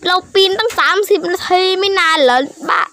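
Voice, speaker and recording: A child improvising character voices for a cartoon skit, excitable and full of repeated exclamations. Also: very high-pitched, brisk, no echo, clean studio sound. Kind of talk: improvised voicing of characters in a game-animation skit.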